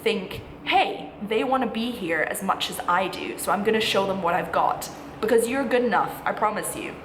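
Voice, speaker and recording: A woman's voice: medium pitch, normal speed. Echoey, reassuring young woman vlogger. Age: 20s. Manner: informal, reassuring, encouraging. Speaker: vlogger, music college postgraduate singing student. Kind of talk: chatty advice vlog to camera. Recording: echoey room with clear background noise.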